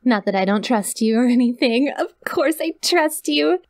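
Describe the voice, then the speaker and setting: A woman's voice: sits high, expressive, normal speed, no room sound, studio quality. A woman playing a sweet, awkward, clingy young yandere kidnapper in an ASMR audio roleplay, rambling and gushing straight to the listener. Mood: excited, doting.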